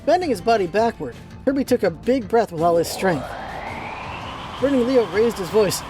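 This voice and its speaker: A woman's voice: medium pitch, lively intonation, normal speed, over music. A woman reading scripted storybook narration as the narrator of a radio play.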